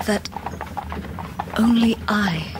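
An actress playing a gentle, sincere, devoted wife with an English accent in a radio drama. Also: female voice, medium pitch, drawn-out words, background noise. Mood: tender, solemn. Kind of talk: 1990s radio drama acting.

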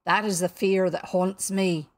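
A woman's voice: fairly low pitch, fairly even intonation, unhurried, lightly acted. A woman voicing a proud, brooding male pirate captain in a storybook, commanding and theatrical, drawing out exclamations. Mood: scheming.

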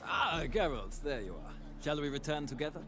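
A man's voice: on the high side, expressive, normal speed, music in the background, lightly acted. A man acting a polite, genial travelling companion in a video game. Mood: courteous.

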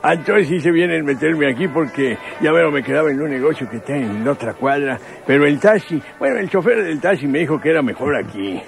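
Creaky, lusty old northern Mexican man. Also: a little high, expressive, fairly quick, few pauses. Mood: jovial, eager. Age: older. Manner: hesitant, repetitive, chuckling, filled with "eh". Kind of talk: radio drama acting. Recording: loud background music.

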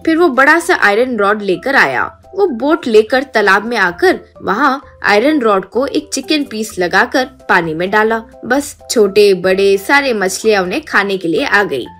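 A woman narrator reading a scripted story for an animated video. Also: medium pitch, unhurried, hardly any pauses, soft background music.

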